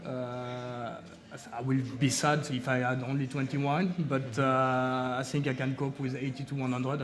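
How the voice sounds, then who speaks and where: A man with a French accent, a native French speaker, speaking haltingly on a seminar panel about fine-dining wine lists, with many pauses. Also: mid-range voice. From France. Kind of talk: panel discussion. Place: seminar room.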